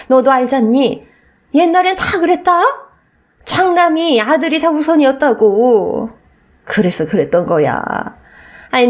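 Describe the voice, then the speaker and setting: A woman's voice: on the high side, darkish tone. Woman acting shameless old mother-in-law, dramatically swooping voice. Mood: defensive wheedling. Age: older.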